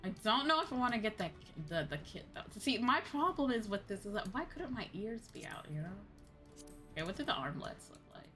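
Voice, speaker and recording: A woman livestreaming a game in casual, excitable chatter, often exclaiming as she talks. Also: on the high side, a little fast, soft background music.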